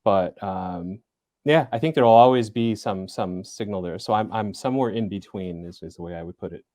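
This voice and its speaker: A man in his forties, a guest in an interview, speaking thoughtfully and hesitantly with frequent fillers and soft laughs. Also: lowish voice, normal speed, no echo, studio quality. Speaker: interview guest, business school center director. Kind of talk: casual interview talk.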